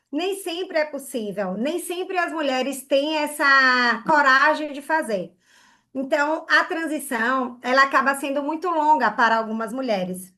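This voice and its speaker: A woman's voice: sits high, pushed and bright. A woman from Bahia, giving an online hair care class in an earnest way and often checking in with "né?" and "tá?". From Bahia, Brazil.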